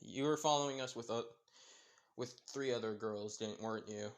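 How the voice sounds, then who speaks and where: A man's voice: mid-range voice, normal speed, lightly acted. A man voicing an easygoing, teasing teenage boy. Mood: knowing. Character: mild, playful teenage boy.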